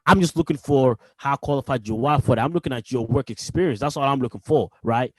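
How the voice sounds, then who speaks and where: A man's voice: medium pitch, fast, a little mellow, no echo. A young man with an Australian accent in casual podcast chat, slangy and loose. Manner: slangy, says "bruv" and "you know what I mean". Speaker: podcast co-host.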